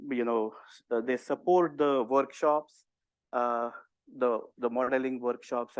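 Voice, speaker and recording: A man's voice: medium pitch, clean tone, no echo, lo-fi sound. A man with an Indian accent teaching a workshop, explaining in a conversational way with many fillers and restarts. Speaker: workshop instructor. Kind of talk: lecturing to a workshop audience.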